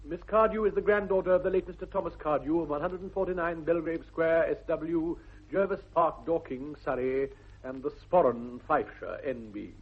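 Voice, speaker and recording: An actor playing an earnest upper-class English gentleman in a 1960s radio comedy, speaking formally and precisely. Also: on the high side, normal speed, husky. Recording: tinny, band-limited vintage radio recording.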